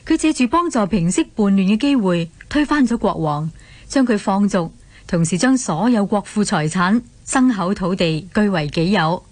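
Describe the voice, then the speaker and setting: A woman with a Hong Kong Cantonese accent narrating a scripted radio drama as an expressive storyteller. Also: medium pitch, moderate pace, no echo.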